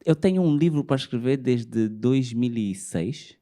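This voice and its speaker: Mellow-voiced man interviewing haltingly. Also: mid-range voice, lively intonation. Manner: hesitant, repeats words when starting a thought. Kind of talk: casual interview chat.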